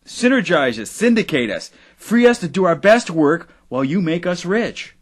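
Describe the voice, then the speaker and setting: A man's voice: sits high, very animated, normal speed. Blunt, ambitious male media boss. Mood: pushy. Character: blunt, ambitious male internet radio owner. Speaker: actor. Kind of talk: satirical audio drama acting.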